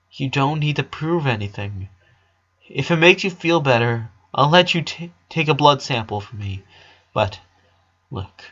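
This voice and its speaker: A man's voice: mid-range voice, fairly bright tone, muffled recording. A man voicing an eleven-year-old boy, a caring big brother who speaks plainly and earnestly in a story. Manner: earnest, reassuring, plain-spoken.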